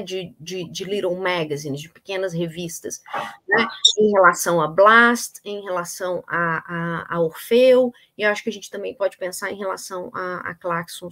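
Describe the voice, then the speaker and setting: A middle-aged woman delivers an academic lecture from a small room at home, heard through a video call. She talks haltingly, scattering tag fillers and restarting her phrases as she goes. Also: fairly low pitch.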